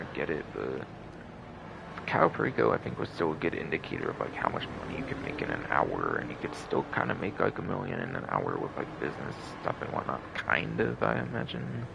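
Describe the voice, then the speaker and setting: A man's voice: sits low, creaky, noisy background. A man with a tired throat doing casual, rambling game livestream commentary, repeating himself, hedging and swearing casually.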